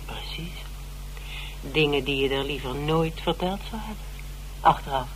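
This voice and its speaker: A woman's voice: sits low, moderate pace, natural voice. A woman playing a shrewd, inquisitive sleuth in a radio drama, pondering and probing dryly. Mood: dryly curious. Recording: noisy, humming old radio play tape.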